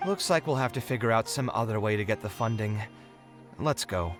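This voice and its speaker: A man's voice: on the low side, lively intonation, brisk, over music, close to an everyday voice. A man voicing an idealistic, dramatic and easily flustered young architect in a video game, earnest and exasperated. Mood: weary, resigned. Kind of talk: video game character acting.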